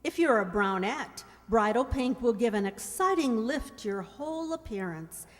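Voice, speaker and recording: A woman's voice: on the high side, animated, normal speed. A woman reading a scripted advertisement in an old-time 1940s radio style. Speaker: commercial announcer. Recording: echoey hall, long reverb.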